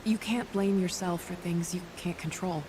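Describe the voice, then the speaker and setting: A woman playing a calm, kind, wry superhero doctor in a video game, gentle and teasing in natural dialogue. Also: on the low side, moderate pace, noisy background, natural voice. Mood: gentle, somber.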